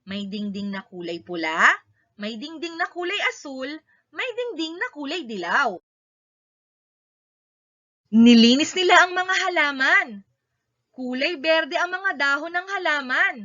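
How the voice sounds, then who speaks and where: A Filipino woman reads a story aloud for children. Her voice is high and very bright, delivering short, emphatic sentences. The recording sounds somewhat dull and lo-fi. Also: animated, measured pace, pauses often.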